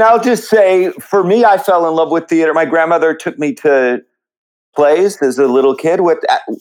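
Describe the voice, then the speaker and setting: A man talking as a podcast guest in a casual, reflective way, halting thoughtfully between phrases. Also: medium pitch, a little mellow, clean tone, low-quality recording. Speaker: podcast guest, theater creative. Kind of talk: casual reflective interview talk.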